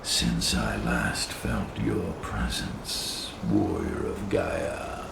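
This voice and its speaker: A vast, echoing ancient spirit, rumbling at an extremely low pitch with heavy gravel. It speaks very slowly and solemnly, in the stately manner of an otherworldly game creature. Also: male voice, full character voice. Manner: solemn, archaic, stately phrasing. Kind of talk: video game cutscene acting. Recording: heavy echo, distant, noisy ambient bed.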